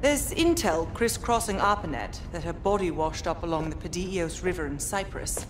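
Woman doing british lady intelligence agent in a game, dry and measured, kinda low voice, noisy ambience behind. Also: animated, close to an everyday voice. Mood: probing, suspicious. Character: composed, wry British female intelligence agent, cool and probing. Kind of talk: video game cutscene acting.

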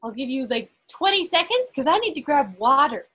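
A woman acting as quizmaster, reading quiz questions aloud to an online group in a deliberate way, pausing between phrases. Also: high-pitched, sing-song, a bit bright. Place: indoors on a group video call. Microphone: video call microphone.

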